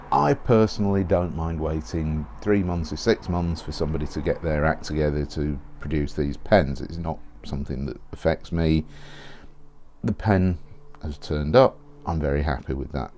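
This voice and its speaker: A man with a very low, mellow voice talks casually through a product unboxing. He rambles, corrects himself and keeps clearing his throat, sounding a little hoarse as he loses his voice. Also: a little hiss or hum. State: losing his voice. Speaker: fountain pen reviewer.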